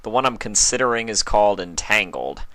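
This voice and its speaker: Male fan fiction reader: lower register, forward bright tone, dry close sound. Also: lively intonation, fairly quick, dry recording. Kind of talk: storybook narration and casual sign-off.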